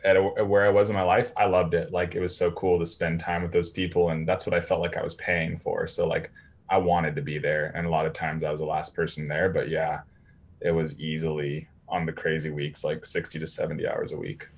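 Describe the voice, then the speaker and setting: A man's voice: sits low, flat delivery, brisk, gravelly. A man enthusiastically answering an interview question. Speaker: guest, bootcamp graduate.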